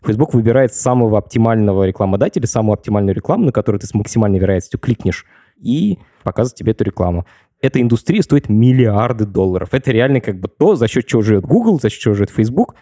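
A man hosts a podcast, talking quickly and casually as he explains. His voice is dark and husky. The sound is close and dry, with faint music underneath. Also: lowish voice, lively intonation, no room sound. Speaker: podcast host. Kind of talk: podcast conversation.

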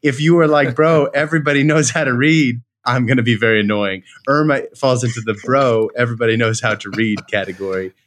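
A man's voice: mid-range voice, fairly even intonation, fairly quick, few pauses, fairly bright tone. A man chatting casually as an interview guest, self-deprecating and enthusiastic, often restarting his sentences as he tells personal stories. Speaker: podcast guest, company co-founder. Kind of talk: casual chat, personal storytelling.

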